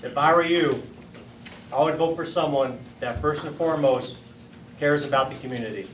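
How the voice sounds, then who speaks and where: A middle-aged American man from San Diego giving an earnest, prepared candidate's speech with deliberate phrasing. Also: a little high, a bit bright. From San Diego, United States. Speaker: political candidate, former Marine. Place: meeting room at a party headquarters. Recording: echoey room, distant mic, faint noise.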